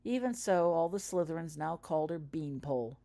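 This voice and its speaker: A woman's voice: low-pitched, animated, fairly quick, dark tone. A woman reading her own story aloud as scripted audiobook-style narration. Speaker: author reading own fan fiction aloud.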